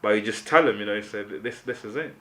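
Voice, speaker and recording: A man's voice: fairly low pitch, fast talker, a bit bright. A man from Uganda co-hosting a casual, opinionated discussion, explaining his views conversationally with frequent "you know". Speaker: talk show co-host.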